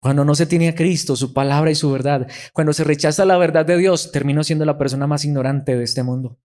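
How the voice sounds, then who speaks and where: A man preaches in a fairly high voice, rising and falling as he acts out the people he quotes. He fires rhetorical questions at his listeners. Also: steady tone, quick pace, darkish tone. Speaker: pastor. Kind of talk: sermon.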